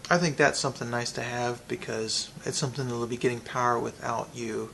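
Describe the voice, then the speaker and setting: A man giving a casual, unscripted product review, thinking aloud in a halting way with pauses. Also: medium pitch, steady tone, creaky, fairly bright tone, a little hiss or hum.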